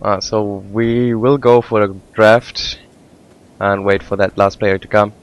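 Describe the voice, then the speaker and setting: A man's voice: fairly low pitch, little pitch movement, clean tone. Hesitant young man co-casting a game. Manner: hesitant, halting, many fillers. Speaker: esports co-caster. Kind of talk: casual game commentary.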